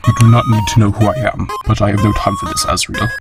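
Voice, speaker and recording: A man playing a gentle, solemn monster scientist and father in a fan comic dub, speaking formally and with measured care in a gravelly voice. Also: flat delivery, loud music behind the voice, close to an everyday voice. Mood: cold, menacing. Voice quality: low, gravelly, husky.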